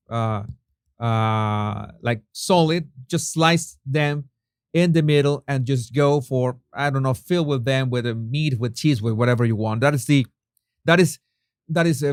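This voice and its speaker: A man's voice: medium pitch, measured pace, clean tone, dry recording. Chatty Venezuelan man with a dry sound. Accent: Venezuelan Spanish. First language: Spanish. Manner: chatty, many restarts and fillers, laughs at own jokes. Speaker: YouTube host. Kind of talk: casual chat reading viewer comments.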